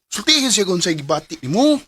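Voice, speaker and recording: A man's voice: high voice, very animated, brisk, sharp tone, slightly rough, full character voice. A young man playing a teasing, encouraging best friend in an amateur radio drama.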